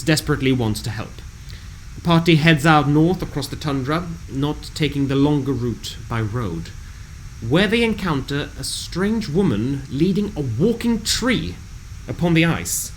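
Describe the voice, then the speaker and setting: A man reading a scripted fantasy game recap in a dramatic, measured storytelling delivery. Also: medium pitch, slight background noise, rough recording.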